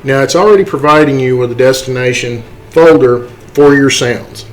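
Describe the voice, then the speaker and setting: A man giving an unscripted software tutorial, halting and step-by-step, often checking in with a questioning okay. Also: medium pitch, low-quality recording. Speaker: tutorial host.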